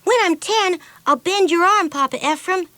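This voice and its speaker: A woman's voice: very high voice, normal speed, bright, forceful tone, no room sound, voice pushed up. A woman voicing a wild, cheerful, super-strong young girl in a storybook reading, with an eager, exclamatory manner.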